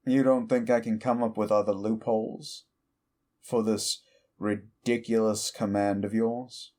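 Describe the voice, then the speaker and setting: Actor doing a petty elder demon, male, deep and unhurried, scornful, audio roleplay. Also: darkish tone. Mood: mocking. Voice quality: slow deep mocking drawl.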